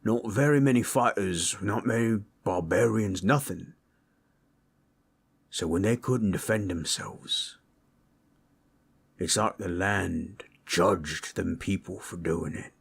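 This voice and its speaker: A gentle orc storyteller, acted by a man with a very low voice. He takes his time, soothing a listener in an intimate roleplay audio with plain, rustic, ungrammatical speech that carries a husky grain. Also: slow pace, long pauses, exaggerated voice. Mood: somber. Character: gentle, comforting male orc, rough-spoken old-lore storyteller.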